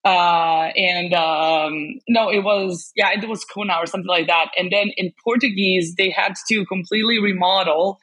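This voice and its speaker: Husky woman, chatty guest. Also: on the low side, steady tone, normal speed, hardly any pauses, a bit bright, low-quality recording. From Serbia. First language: Serbian. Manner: chatty, many fillers and restarts, laughs often. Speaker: guest, language specialist. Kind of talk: casual interview chat.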